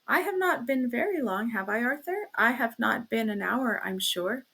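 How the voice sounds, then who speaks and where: A woman's voice: fairly high pitch, animated, moderate pace, gravelly, pushed and bright, husky, heavily acted. A woman voicing an anguished but firm young wife and mother in an audiobook, pleading and emphatic. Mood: defensive.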